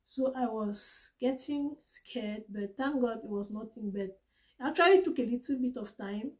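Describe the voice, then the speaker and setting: A woman's voice: on the high side, moderate pace, a little mellow. A young woman from Cameroon chatting earnestly about her own trip and giving practical advice in a casual vlog. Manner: chatty and earnest, retelling her own experience with small restarts. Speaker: vlogger sharing travel tips.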